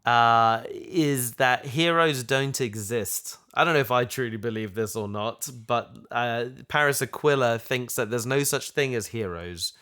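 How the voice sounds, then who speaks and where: A man's voice: mid-range voice, measured pace, few pauses, sharp tone, clean tone. A man with a mid-range voice talks casually as a podcast co-host. He is hesitant and thinks aloud, feeling his way through each point in a conversational discussion. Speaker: podcast co-host and audio drama creator.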